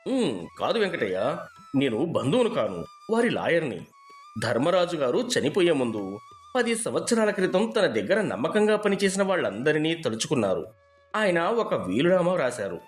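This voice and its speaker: A man's voice: a little high, sing-song, normal speed, soft background music. A man playing an earnest, persuasive lawyer in a moral story, formal, firm and reassuring. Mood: solemn, kindly. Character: earnest, persuasive male lawyer delivering a bequest. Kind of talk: dramatised moral storybook dialogue.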